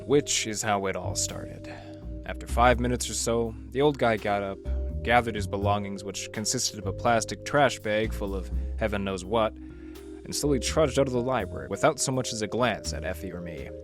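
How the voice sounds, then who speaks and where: Man acting a hard-boiled radio detective, low voice, sardonic, slangy, noir delivery, music underneath. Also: moderate pace, close to an everyday voice. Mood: somber narration. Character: wisecracking hard-boiled male library detective, dryly soft-hearted. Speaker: lead actor and narrator.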